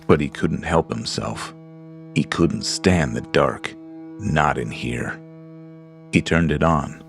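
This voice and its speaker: A man narrating a scripted horror story in a measured, ominous way. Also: extremely low pitch, gravelly. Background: creepy music.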